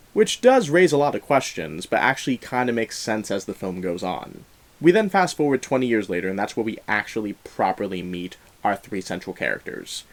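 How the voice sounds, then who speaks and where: A young man hosting a film review, talking in a conversational, wry and emphatic way through semi-scripted commentary. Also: fairly low pitch. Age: young adult.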